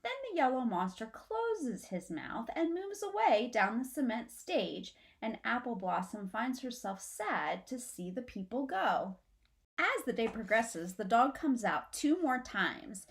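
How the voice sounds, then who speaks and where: A woman's voice: fairly high pitch, big pitch swings, unhurried, vocal fry. A middle-aged woman reading a children's story aloud expressively, like a teacher, in a room at home. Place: small furnished room at home, indoors.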